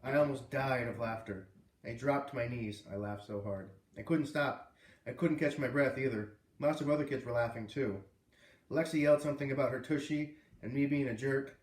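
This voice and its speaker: A man reading a children's novel aloud in an expressive, animated way, with casual asides. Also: mid-range voice, normal speed. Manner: animated, puts on character voices. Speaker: read-aloud host. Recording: roomy, distant microphone.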